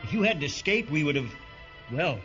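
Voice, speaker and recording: A male army major speaks with tense authority in a high, very animated voice. Orders come clipped and firm. It sounds like a dull-toned science fiction radio play with music underneath. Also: moderate pace. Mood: apologetic, relieved.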